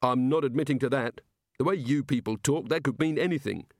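A man's voice: medium pitch, a little fast, no echo, clean studio sound, pitched above the performer's own voice, close to an everyday voice. A man voicing a hapless, anxious male prisoner in a comic audiobook, fretful, hesitant and sardonic. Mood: weary, irritated.

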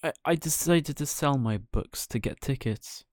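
A man voicing a naive, excitable wooden puppet boy who stammers as he explains, for a children's storybook. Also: medium pitch, a little slow, no room sound, studio quality, pitched above the performer's own voice. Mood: sheepish confessing. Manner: hesitant, stammering when explaining.

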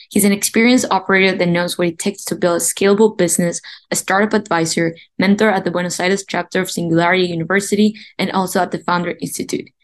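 A woman hosting an interview podcast. She introduces the show and her guests in an upbeat, eager way. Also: on the low side. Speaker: podcast host.

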